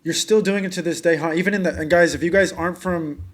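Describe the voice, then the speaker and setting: Higher-voiced male host, rambling. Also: steady tone, fast, a bit bright. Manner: loose, rambling with many fillers. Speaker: podcast host. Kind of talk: casual interview chat.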